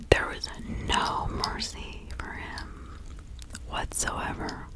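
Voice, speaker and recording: A woman playing a reclusive, gossipy village witch of the 1600s in a confiding, rambling ASMR roleplay, all in a breathy whisper. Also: natural voice, low-quality recording. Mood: grave warning. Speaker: ASMR performer.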